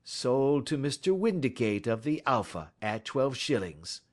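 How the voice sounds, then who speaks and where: A man voicing a shrewd, calm detective who speaks in a measured, offhand way in an audiobook story. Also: medium pitch, voice pushed up. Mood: cool. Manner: calm, measured, careless-seeming. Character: cool, shrewd male detective, middle-aged.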